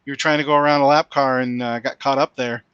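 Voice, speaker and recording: A man's voice: medium pitch, steady tone, quick pace, clear, resonant tone, no echo, lo-fi sound. A man hosting a sim-racing broadcast, interviewing drivers after the race in an easygoing way with fillers. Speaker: race commentator host.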